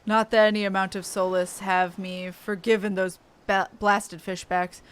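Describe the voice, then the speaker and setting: A woman voicing a gruff, weary male navy sailor who talks in blunt seafaring dialect. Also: mid-range voice, a bit bright, lightly acted.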